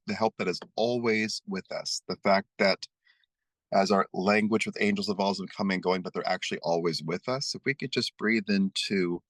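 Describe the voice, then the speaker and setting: Man, very low voice, dry close sound, slow soothing guided meditation, spoken affirmations. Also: a bit bright, no room sound. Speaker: spiritual meditation leader.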